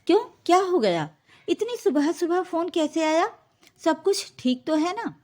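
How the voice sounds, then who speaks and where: A woman's voice: extremely high pitch, big pitch swings, unhurried, a little mellow, voice pushed up, exaggerated voice. A woman voicing an anxious, polite middle-aged father with urgent questions in a story. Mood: alarmed.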